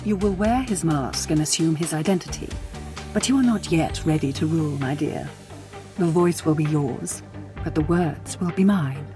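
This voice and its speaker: A woman's voice: sits low, moderate pace, over music, natural voice. A woman voicing a scheming, power-hungry Sith noblewoman in a video game, formal, silky and imperious. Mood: gloating, commanding.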